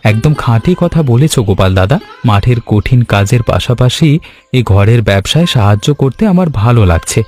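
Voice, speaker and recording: A man's voice: mid-range voice, animated, fairly quick, a little mellow, soft background music, lightly acted. A man voicing an agreeable, reassuring village friend in a Bengali cartoon story, speaking earnestly. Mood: agreeable, content. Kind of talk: Bengali animated moral story dialogue.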